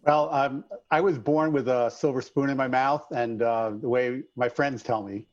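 A middle-aged man with a slight New York accent tells his story in a chatty, folksy manner, often using the host's name. His voice sits at a middling pitch and comes through a slightly dull, remote-sounding line. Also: steady tone, moderate pace. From Long Island, New York. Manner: upbeat, folksy, addresses host by name. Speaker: guest, trades business owner. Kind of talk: casual interview chat.

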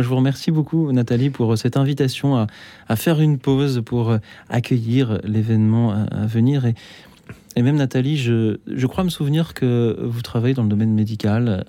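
Male radio host, warm, mellow, measured delivery. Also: medium pitch, dry recording. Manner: measured, gently hesitant. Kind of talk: live radio talk show hosting. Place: radio studio.

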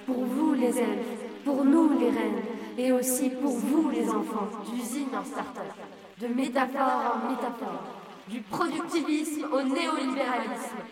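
A woman's voice: high-pitched, a little slow. A woman playing a solemn, militant female reindeer giving a poetic, declamatory rally speech in an audio drama, with long reverb. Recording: echoey hall, distant, crowd behind.

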